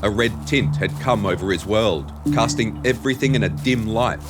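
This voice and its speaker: A man's voice: on the low side, normal speed. Male dramatic story narrator over music. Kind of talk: dramatic scripted fiction narration.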